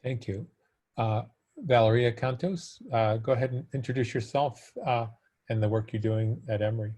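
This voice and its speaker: A man moderating an online panel, introducing speakers informally in a somewhat halting, hesitant way. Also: medium pitch, warm tone. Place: remote video call.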